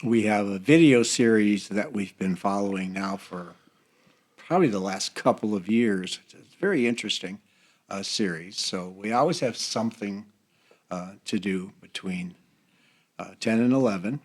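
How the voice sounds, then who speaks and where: An older man with an American accent, explaining things in a halting, measured way in a casual podcast conversation. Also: on the low side. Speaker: church seniors' group member.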